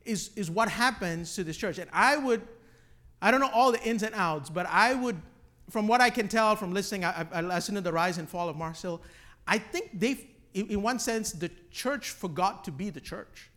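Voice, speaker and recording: A man from Malaysia teaching a class informally, halting and repeating words, often checking in with "right?". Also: sits high, animated, bright, forceful tone. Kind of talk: informal teaching with questions from the group.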